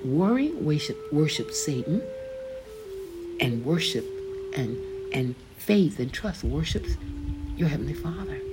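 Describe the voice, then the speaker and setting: Low-voiced woman reading devotionally. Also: very animated, measured pace, long pauses, low-quality recording. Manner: earnest, conversational, addresses listener as beloved. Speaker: author and podcast host. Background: background music.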